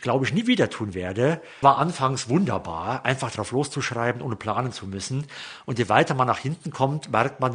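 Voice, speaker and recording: A man's voice: medium pitch, slightly rough. A man, a novelist, talking reflectively about how he wrote his book in a casual panel discussion. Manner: reflective, explains his writing process.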